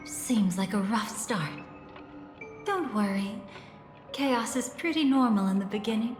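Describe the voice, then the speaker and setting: A woman's voice: a little high, expressive, unhurried. An actress playing a seductive, mysterious woman in a video game, speaking in a soothing, teasing, theatrical way as a guide through memories. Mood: soothing, coaxing. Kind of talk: scripted game voice acting, story narration. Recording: echoey reverberant hall, music behind.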